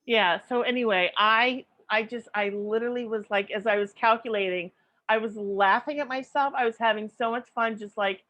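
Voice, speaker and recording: Woman, late 50s, podcast co-host. Giggly, animated storyteller with a slightly high voice. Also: normal speed. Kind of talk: casual chat.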